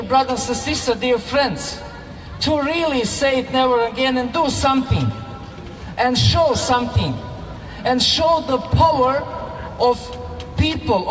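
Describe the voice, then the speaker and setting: A man from Bosnia with a Bosnian accent giving a slow, measured rally speech that rises to fervent, raised passages. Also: extremely high pitch. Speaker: speaker at a solidarity rally. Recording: echoey public-address sound from far off, music behind.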